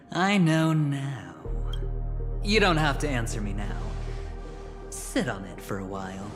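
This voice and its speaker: A man playing a smug, scheming gambler in a video game, suave and taunting, with theatrical, drawn-out phrasing. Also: mid-range voice, animated, in a large hall, over music. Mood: sly, persuasive. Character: smug, scheming male gambler, teasing and menacing dealmaker.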